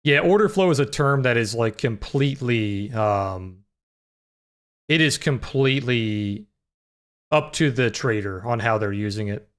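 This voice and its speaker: A young man with a naturally deep voice that sometimes cracks high, hosting a trading livestream in relaxed, filler-heavy chat. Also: a little slow, clean tone. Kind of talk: casual live-stream chat with chat readers.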